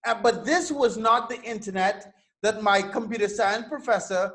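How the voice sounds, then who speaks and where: A man's voice: sits high, normal speed, a bit bright. A man in his thirties from Trinidad and Tobago, a guest telling his story casually, swearing and repeating words. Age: 30s. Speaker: guest entrepreneur.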